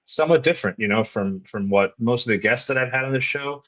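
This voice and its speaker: A man's voice: mid-range voice, fast, fairly bright tone, highs cut off. A male podcast host asking long, curious, rambling questions with restarts over a remote call. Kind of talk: interview questions, casual.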